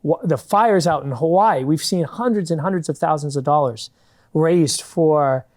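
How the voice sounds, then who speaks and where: A man's voice: a little high, normal speed, darkish tone. Earnest man explaining steadily. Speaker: guest, fundraising company founder. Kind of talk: studio interview answers. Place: public TV studio.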